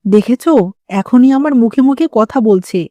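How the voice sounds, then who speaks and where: A traditional, middle-aged cartoon mother-in-law acted by a woman who scolds and laments. Her voice sits a little high and stays soft-edged, recorded dry with no room sound. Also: expressive, normal speed, dry recording, lightly acted. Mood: aggrieved, lamenting. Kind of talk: dramatic cartoon dialogue.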